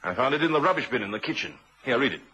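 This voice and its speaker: Husky, probing police inspector. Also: male voice, medium pitch, expressive, very fast, bright, forceful tone, muffled recording. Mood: brisk, reflective. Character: shrewd, probing male police inspector playing a hunch. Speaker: radio drama actor. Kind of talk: vintage radio crime drama acting. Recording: vintage radio drama recording.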